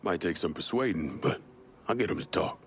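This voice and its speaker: A man's voice: sits low, lively intonation, brisk. A man playing a tough, terse young mob enforcer in a video game, businesslike and menacing.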